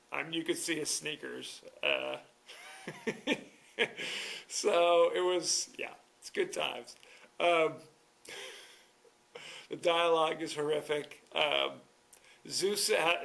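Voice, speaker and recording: A man's voice: a little high, creaky, pushed and bright. A man hosting a movie podcast alone, talking casually in a wry, rambling way with chuckling asides. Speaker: podcast host. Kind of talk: casual solo movie review.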